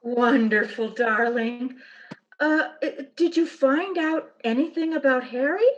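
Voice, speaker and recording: An actress playing an affectionate, worried wife in an old-time radio drama, with a tender, emotional delivery. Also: female voice, sits high, measured pace, muffled recording, slight background noise.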